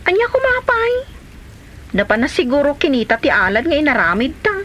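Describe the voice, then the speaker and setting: A nagging, prickly village woman played by an actress in an Ilocano comedy drama. Her high voice swings widely as she fusses and scolds. The recording has clear noise behind it. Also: huge pitch swings, brisk, fairly bright tone, muffled recording, full character voice. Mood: eager, smug. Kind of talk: Ilocano comedy radio-style drama acting.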